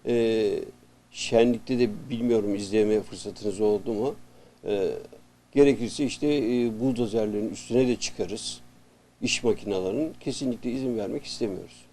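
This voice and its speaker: A man's voice: on the low side, moderate pace, mellow. A man speaking in an interview as a spokesman for a neighbourhood association. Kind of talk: interview talk. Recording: background ambience, faint noise.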